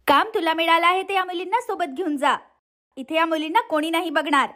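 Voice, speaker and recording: Woman acting a harsh, high-pitched cruel aunt. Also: a little fast, pushed and bright. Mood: grudging, irritated. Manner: harsh, scolding, threatening. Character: cruel, short-tempered aunt scolding orphaned nieces. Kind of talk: cartoon moral story voice acting.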